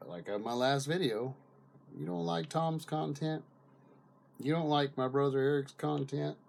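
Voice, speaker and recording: A man's voice: mid-range voice, creaky, a little mellow, a little hiss or hum. Male vlogger, mid-range voice, informal and rambling, with hesitant, stop-and-start phrasing. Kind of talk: rambling casual commentary to camera.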